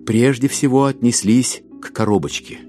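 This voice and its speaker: A man's voice: medium pitch, moderate pace, warm tone, soft background music. A man narrating a literary audio play in a wry storytelling manner.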